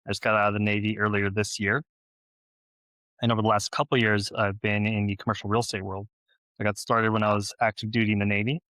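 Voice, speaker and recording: A man's voice: lowish voice, flat delivery, fast talker, sharp tone, no room sound, poor audio. A man who grew up in Los Angeles, answering questions conversationally as an interview guest. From born in Ukraine, grew up in Los Angeles, United States. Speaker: podcast guest, real estate investor and former Navy officer.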